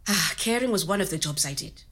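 A woman's voice: lowish voice, lively intonation, a little fast, a bit bright. An actress playing a frank, creative immigrant woman, telling her story in a natural, conversational way. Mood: candid. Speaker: actor in a scripted audio story.

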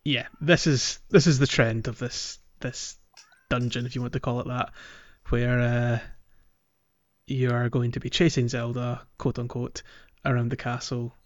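A man's voice: medium pitch, flat delivery, measured pace, dry recording, dull audio. A man hosting a casual gaming podcast, speaking conversationally and putting questions to his co-hosts. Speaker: podcast host.

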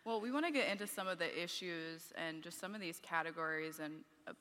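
Bright-voiced woman cohost. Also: fairly low pitch. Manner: measured, affirming, quick "yeah"s. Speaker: podcast cohost. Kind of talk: live podcast conversation. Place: conference stage with hall. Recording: live hall reverb.

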